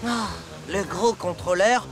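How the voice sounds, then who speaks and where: A man's voice: high-pitched, takes it slowly. A man playing a vain, sulky steam locomotive in a French cartoon dub, grumbling and whining indignantly. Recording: music behind the voice.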